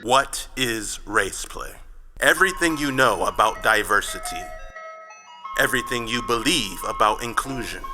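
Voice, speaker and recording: A man's voice: on the low side, animated, a little slow. A man delivering scripted, dramatic narration in the style of a trailer. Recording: loud music under the voice. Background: loud music.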